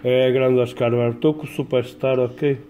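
A man with a European Portuguese accent chatting casually as an amateur radio operator, in a colloquial, halting way with repeated words. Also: medium pitch, level tone, heavy background noise. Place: indoors at a home radio desk.

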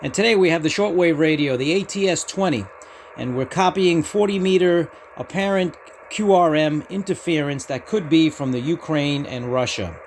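A man's voice: on the high side, moderate pace, slight background noise. A man hosting a hobby channel, narrating casually to viewers. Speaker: host of a ham radio channel.

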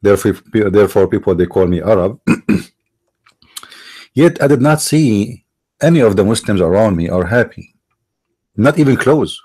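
Middle-aged man, Arabic accent, low register, halting commentary host with frequent restarts. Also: expressive, dark tone, breathy edge. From Middle East. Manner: halting, repeats and restarts words, stresses points. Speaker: online show host. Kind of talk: monologue commentary.

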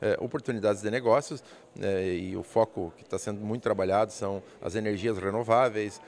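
A man, giving an interview answer in a halting, hesitant way. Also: lowish voice, steady tone, a little mellow. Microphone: handheld interview microphone.

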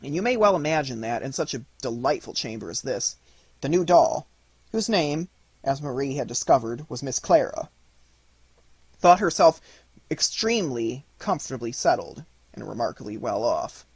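A man narrates a storybook as an audiobook reader. His voice is mid-pitched and slightly husky, in a dry space with little echo. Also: lively intonation, moderate pace.

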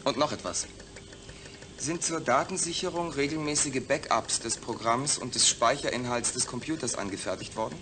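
An actor as a proud, emphatic astronaut patient. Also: male voice, mid-range voice, moderate pace, close to an everyday voice. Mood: firm, demanding. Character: male astronaut patient, proud, defiant, distrustful, demanding. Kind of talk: German science-fiction radio drama acting.

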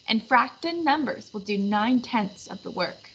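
A woman's voice: a little high, animated, normal speed, dull audio, a little hiss or hum. A woman voicing a commanding, shrewd medieval earl in a storybook. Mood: shrewd, resolute.

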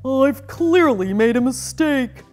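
A polite storybook dinosaur played by a woman, gently apologetic and well-spoken. The creature speaks slowly in a highish voice that swings up and down, with hiccups breaking in and music playing behind. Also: animated. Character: polite, hiccuping long-necked dinosaur, big-dog sized.

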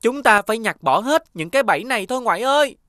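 A woman voicing an earnest, excitable little boy in a children's cartoon. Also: fairly high pitch, fast, no echo, studio quality. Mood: concerned, indignant. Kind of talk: children's animated cartoon acting.

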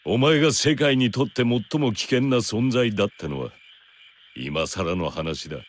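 An actor playing a gruff, curt bounty hunter in a Japanese anime game, speaking in blunt, rough words. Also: male voice, medium pitch, expressive, a little slow, a little hiss or hum, close to an everyday voice. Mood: grave, matter-of-fact.

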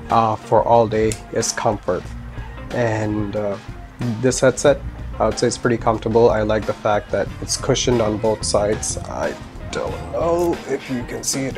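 A man's voice: medium pitch, a little mellow. A man in his thirties giving a casual product review in a conversational way, with asides. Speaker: gear reviewer, indie filmmaker. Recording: music under the voice. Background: instrumental music with guitar.

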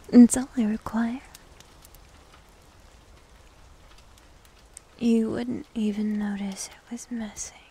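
A woman playing a needy, teasing young succubus demon in an ASMR roleplay, with a soft, breathy, often whispered voice and coy manner. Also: mid-range voice, slight background noise, natural voice. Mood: coaxing, needy. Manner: coy, slow, coaxing. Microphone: close microphone.

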